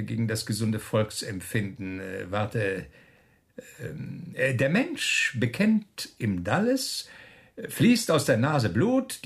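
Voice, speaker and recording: A man acting in a German radio play as a smug, menacing officer who taunts a prisoner with mocking, drawn-out questions. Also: mid-range voice. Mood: taunting, amused.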